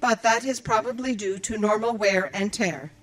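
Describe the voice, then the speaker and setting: A woman's voice: medium pitch, normal speed, lightly acted. A woman playing a dutiful, earnest android librarian in an audio drama, speaking in formal, precise, procedural phrases. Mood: flustered, precise. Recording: music behind the voice. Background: music.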